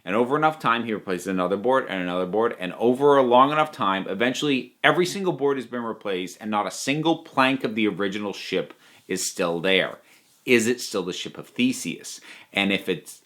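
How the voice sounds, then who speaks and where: A man giving a casual spoken review as a video host, chatty and emphatic in an animated way. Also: medium pitch.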